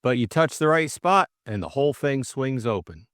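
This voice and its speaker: A man's voice: mid-range voice, animated, moderate pace, a bit bright, no echo, clean studio sound, natural voice. A man voicing an anxious working-class servant in an audiobook, plaintive and deferential, with ungrammatical speech. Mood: explanatory, deferential.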